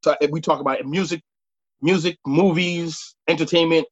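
A man's voice: a little high, steady tone, no room sound, muffled recording. A man in his late thirties from the United States, chatting casually as an interview guest and often repeating his words. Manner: halting, repeats words and phrases. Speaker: podcast guest, sports referee.

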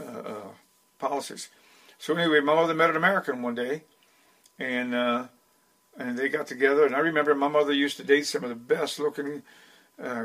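A man in his eighties, Dutch by first language and from the Netherlands, recounting his life as a podcast guest in an unhurried way with many restarts. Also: mid-range voice, fairly bright tone. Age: 80s. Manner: unhurried storyteller with frequent restarts. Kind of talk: storytelling interview.

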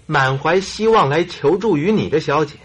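A man's voice: on the high side, animated, moderate pace, husky. A man playing a kindly, flustered doctor sidekick in a radio drama, earnest and hesitant. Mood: reproachful. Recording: radio drama with music behind.

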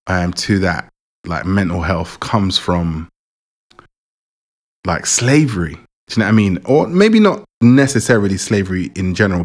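Low-voiced man podcasting. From Jamaica. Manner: relaxed, rambling, repeats words. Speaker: podcast host. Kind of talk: casual personal monologue.

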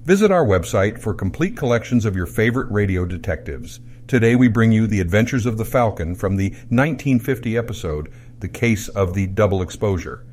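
Man, slightly low voice, plain promo read, faint background noise. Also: lively intonation, brisk, a little mellow. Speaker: website announcer.

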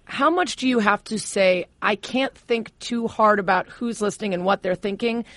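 Chatty woman host, lowered voice, dry close mic. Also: normal speed, a bit bright, no echo. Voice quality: lower than it used to be after vocal cord surgery. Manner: chatty, affirming, asks long questions. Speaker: podcast host, interviewer. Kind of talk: conversational interview.

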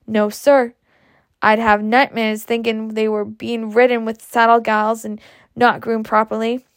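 A blunt and boastful storybook matron of the old South, read out by a woman. The voice is on the high side with some creak at the edges, and it talks on emphatically, proud and stubborn, very close and dry. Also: flat delivery, unhurried, dry recording. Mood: indignant, stubborn. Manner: emphatic, talkative.

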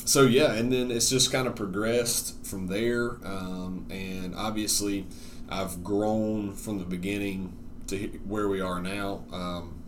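A young man with a deep, low voice talks easily on a podcast about his church work. He often pauses, backtracks and fills gaps with ums. A faint hiss sits under the recording. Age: young adult. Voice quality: relatively deep voice. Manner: relaxed, halting, many ums and restarts. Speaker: church worship director and teacher. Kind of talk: casual podcast conversation.

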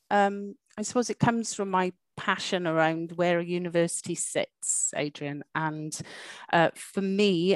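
Low-voiced Welsh woman, measured. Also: clean tone, no echo. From Wales. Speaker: university dean. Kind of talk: interview answers.

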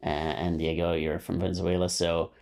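A man's voice: low voice, level tone, moderate pace, gravelly, a little mellow. A man hosting a podcast interview, asking long framing questions in a friendly way. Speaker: podcast host. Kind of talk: casual interview.

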